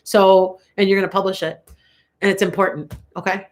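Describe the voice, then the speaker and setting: A woman's voice: mid-range voice, little pitch movement, moderate pace. An older woman with a Chicago accent, congested and coughing a little, chatting and rambling through a live tarot reading for viewers with frequent laughs. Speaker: tarot reader.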